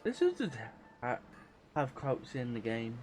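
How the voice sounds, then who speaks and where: A man's voice: medium pitch, huge pitch swings, measured pace. A man streaming a video game with casual, rambling, informal commentary, game music playing behind him.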